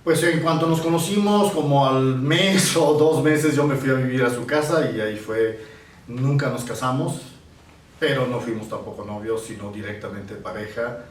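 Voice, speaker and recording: A man in his sixties, a painter born in Italy, recalling his past in an interview and often pausing to find his words. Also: medium pitch, slight background noise. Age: 60s. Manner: reflective, pausing to search for words. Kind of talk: reminiscing in an interview. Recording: roomy, distant mic with faint ambience.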